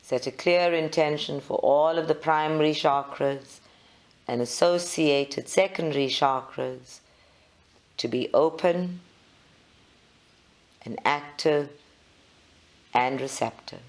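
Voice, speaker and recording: Very slow meditation guide. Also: medium pitch. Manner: measured, instructional, with short phrases and long pauses.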